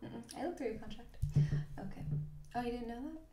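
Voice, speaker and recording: A woman playing a grumpy, blunt HR employee in a soft-spoken ASMR roleplay, deadpan and offhand, with hesitant, grumbling phrasing. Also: a little high, brisk, reverberant, far from the mic, very noisy, lightly acted. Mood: flat, weary. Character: grumpy, blunt female HR employee doing new-hire paperwork.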